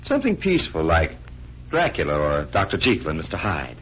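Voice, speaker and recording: Deep-voiced, jittery cowardly sidekick. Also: male voice, huge pitch swings, fairly quick. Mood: reluctant, joking. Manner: hesitant, comically nervous. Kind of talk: 1940s radio acting. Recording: muffled, noisy 1940s radio recording.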